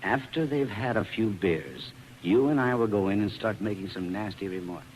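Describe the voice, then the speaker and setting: A man's voice: fairly low pitch, normal speed, natural voice. An actor playing a brash, scheming carnival showman in 1940s radio style, mocking and sly. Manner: sly, taunting, showy. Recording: noisy 1940s radio broadcast recording.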